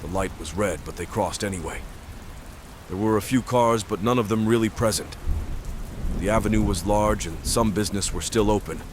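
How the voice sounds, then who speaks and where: A man playing a brooding stalker who narrates an audio drama in deliberate, detached, literary phrases. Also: on the low side, background noise, natural voice. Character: brooding male stalker narrating his nightly watch. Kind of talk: scripted first-person narration, audio drama.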